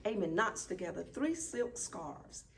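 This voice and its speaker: A woman reading a children's picture book aloud at story time, expressive and deliberate. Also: medium pitch. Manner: deliberate, animated. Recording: distant mic, faint background noise.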